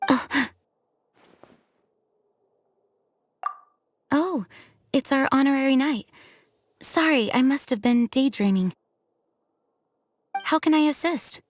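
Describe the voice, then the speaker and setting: A woman's voice: high-pitched, unhurried, pauses often, no room sound, clean studio sound. A woman voicing a polite, dutiful, overworked young knight commander, formal and weary, in an anime game dub. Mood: dazed.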